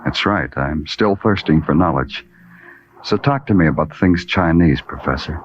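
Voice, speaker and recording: Low-voiced hard-boiled detective, vintage radio. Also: male voice, normal speed, a little hiss or hum. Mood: dry, guarded. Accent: American. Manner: deadpan, clipped, dryly sardonic. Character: wry, hard-boiled male police detective. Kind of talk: old-time radio crime drama acting. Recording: muffled vintage radio recording.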